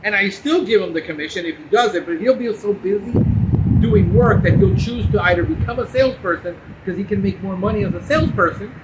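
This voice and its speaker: High-pitched American man, muffled noisy recording. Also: a little fast. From Cuban, grew up in New Jersey and Miami. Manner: blunt, combative. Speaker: podcast host. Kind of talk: casual unscripted live commentary. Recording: muffled, loud background noise.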